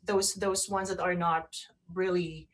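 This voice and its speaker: A woman co-hosting a radio show in casual chat, halting and often repeating herself. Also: fairly low pitch, flat delivery.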